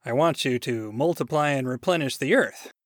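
Man putting on a grandiose, booming God. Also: moderate pace, no room sound, studio quality, lightly acted. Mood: brisk, commanding. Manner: grandiose, showman-like. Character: mighty bearded God, boastful, theatrical, easily wounded. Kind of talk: short story read aloud.

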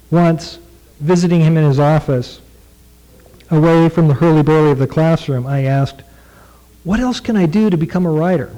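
A man, a former student, reading aloud a prose tribute he wrote, halting and soft-spoken between passages and slow and measured as he reads. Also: a little high, rough recording.

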